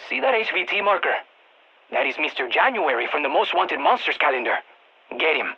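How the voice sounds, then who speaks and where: A man's voice: fairly high pitch, big pitch swings, a little fast, creaky, slightly rough, muffled recording, full character voice. A man playing an eager, geeky field scientist in a video game, giving precise technical briefings to soldiers over radio comms. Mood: brisk, focused. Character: excitable nerdy male field scientist guiding soldiers by radio. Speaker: mission-support scientist character in a shooter game.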